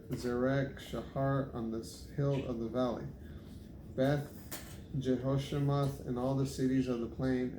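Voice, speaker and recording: Male scripture reader, even and unvaried in pitch, stumbles on difficult names, roomy sound with background noise. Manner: halting, stumbles over unfamiliar names. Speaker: Bible reader. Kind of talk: reading scripture aloud. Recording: echoey room with clear background noise.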